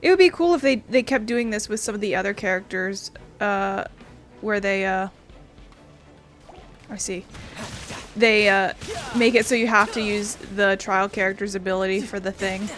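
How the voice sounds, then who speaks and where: A woman's voice: medium pitch, normal speed, long pauses, fairly bright tone, faint music behind. A woman giving casual, blunt, playful let's play commentary, with some swearing. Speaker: gaming streamer.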